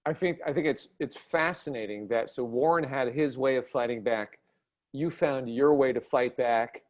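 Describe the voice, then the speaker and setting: A man moderating a panel discussion over a video call, friendly, with a few quick restarts. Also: mid-range voice.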